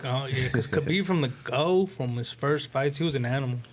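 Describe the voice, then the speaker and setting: A man's voice: medium pitch, a little fast, a little hiss or hum. A young man on a podcast panel, casually chatting about sports in a small room. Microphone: podcast microphone.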